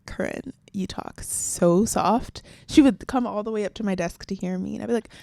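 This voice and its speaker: A soft-spoken young woman co-hosting a podcast, telling stories in a casual chat and acting out quoted voices. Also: medium pitch, normal speed, gravelly, no echo, studio quality. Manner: animated storytelling with quoted voices. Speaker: podcast co-host.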